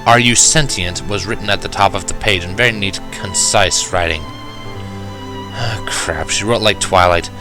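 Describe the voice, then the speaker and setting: A man narrating a first-person fan fiction story in an expressive, wry, dramatic manner. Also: low voice, moderate pace, over music, poor audio. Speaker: audiobook narrator.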